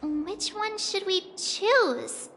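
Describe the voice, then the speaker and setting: A woman's voice: extremely high pitch, expressive, drawn-out words, a little hiss or hum, full character voice. An actress voicing a curious, perky young girl companion in a video game. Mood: puzzled, curious. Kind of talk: scripted game voice acting. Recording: long hall reverb, loud music behind.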